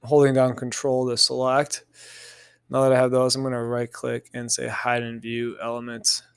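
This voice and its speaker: Clear-voiced man giving a casual tutorial. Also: medium pitch, monotone, normal speed, no echo, studio quality. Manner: informal, thinking aloud step by step. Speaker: software tutorial instructor. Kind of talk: casual screen-recorded tutorial narration.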